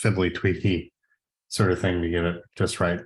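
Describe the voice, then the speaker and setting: A man's voice: sits low, little pitch movement, moderate pace, slightly rough. A man in his forties casually moderating a work video call as its host. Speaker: meeting host.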